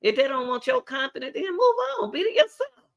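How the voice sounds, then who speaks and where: A woman's voice: sits high, big pitch swings, fairly quick, muffled recording. A middle-aged woman, hosting her own channel and giving a preachy, repetitive motivational talk straight to her viewers. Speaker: channel host.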